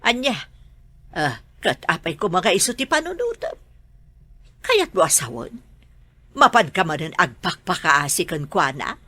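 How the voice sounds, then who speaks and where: A woman's voice: lowish voice, sing-song, unhurried, pauses often, bright, forceful tone, no room sound, exaggerated voice. An actress playing a suspicious, scolding mother in a radio drama.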